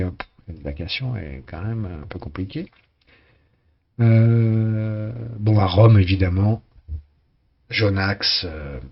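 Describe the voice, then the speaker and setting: A hoarse man casually commenting on a sailing race game, talking with many hesitations and restarts. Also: low voice, dull audio.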